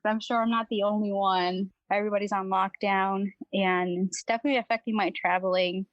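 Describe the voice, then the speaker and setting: A woman's voice: mid-range voice, no echo, lo-fi sound. A young woman from Venezuela, a guest on a podcast, talking casually with lots of fillers and frequent laughter. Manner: chatty, laughs often, many fillers. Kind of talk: casual chat.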